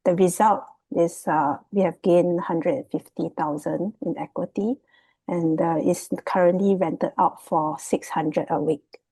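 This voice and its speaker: A woman's voice: lowish voice, fairly even intonation, measured pace, dark tone, husky, no echo, poor audio. A woman from Singapore with a Singaporean accent, giving a casual, unscripted presentation in a hesitant, loosely phrased way as a guest. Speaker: guest, property investment student. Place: remote video call.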